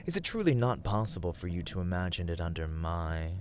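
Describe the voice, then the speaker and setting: Arrogant villain warlord, low-pitched, swooping intonation, breathy, haughty, mocking, theatrical ASMR roleplay. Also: male voice, big pitch swings, a little mellow, a little hiss or hum. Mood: smug persuasion. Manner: haughty, languid, mocking, drawn-out words. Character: arrogant, teasing male villain warlord craving total control.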